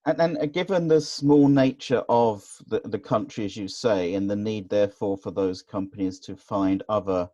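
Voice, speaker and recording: A man's voice: on the low side, moderate pace, few pauses, warm tone, dry recording, dull audio. A man hosting an interview, asking long questions in a conversational way. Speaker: interviewer, host.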